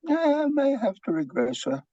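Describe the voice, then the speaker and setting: A man's voice: extremely high pitch, wildly swooping pitch, measured pace, warm tone, dry recording, heavily acted. A man playing an eccentric, earnest foreign psychiatrist in a comic play, pompous and theatrical with stilted grammar. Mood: grave, fervent. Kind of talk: comic stage play reading. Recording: dull lo-fi microphone sound.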